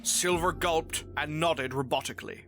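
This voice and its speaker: A narrator reading a scripted fantasy story in an expressive storybook delivery. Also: medium pitch, moderate pace, creaky, faint music behind.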